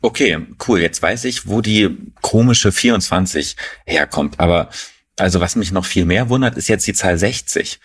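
Ein Mann aus Berlin, der als Co-Moderator im lockeren Podcastgespräch nachfragt und seinen Kollegen trocken neckt. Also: male voice, low-pitched, normal speed, breathy edge. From Berlin, Germany. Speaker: podcast co-host. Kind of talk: casual conversational chat.

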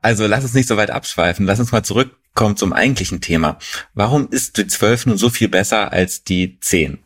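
Ein Mann aus Berlin, der als Co-Moderator im lockeren Podcastgespräch nachfragt und seinen Kollegen trocken neckt. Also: male voice, lowish voice, fairly quick, a bit bright, husky. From Berlin, Germany. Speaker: podcast co-host. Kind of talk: casual conversational chat.

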